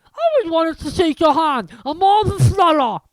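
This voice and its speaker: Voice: very high voice, very animated, moderate pace, dry recording, studio quality, voice pushed up. A reader voicing a starstruck witch gushing over meeting someone famous. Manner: breathless gushing.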